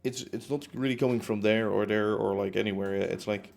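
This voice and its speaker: Young guy on a home stream, deepish voice w some fry, lots of ums and restarts. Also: fairly even intonation, brisk, a little mellow, soft background music. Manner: rambling, many fillers and restarts. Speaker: streamer building in a game. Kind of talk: casual chat while building. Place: home room in a concrete apartment.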